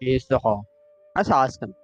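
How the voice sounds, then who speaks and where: A man's voice: medium pitch, animated, unhurried. A man chatting casually as he plays a game on a livestream. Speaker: game streamer. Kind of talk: casual livestream gameplay chat. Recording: dull, lo-fi stream audio with music.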